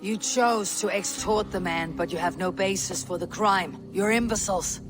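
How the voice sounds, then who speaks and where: A woman's voice: medium pitch, normal speed, natural voice. Stern Viking warrior woman over music, acted. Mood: scornful. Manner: terse, commanding, formal. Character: stern, proud female Viking warrior, blunt and resolute. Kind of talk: video game cutscene acting.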